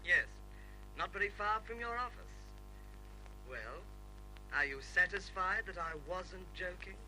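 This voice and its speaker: Mystery caller: highish, piercingly bright, sly and courteous menace on a telephone, vintage radio thriller. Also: male voice, exaggerated voice, low-quality recording. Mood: sly, teasing. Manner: slow, coy, politely menacing. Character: mysterious taunting male anonymous informant on the phone. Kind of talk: old-time radio crime drama acting. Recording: old radio recording, music behind.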